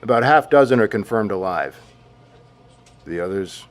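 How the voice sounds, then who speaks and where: A man's voice: on the low side, expressive, moderate pace, lightly acted. A man playing a formal, pragmatic army general in an audio drama, speaking with clipped authority. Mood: firm.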